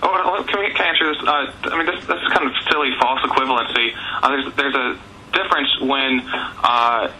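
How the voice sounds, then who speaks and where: A man makes an insistent, choppy argument on a conference call. His voice is very sharp and tense, mid-pitched, over a slightly noisy telephone line. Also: a little fast. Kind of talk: argumentative press call answer.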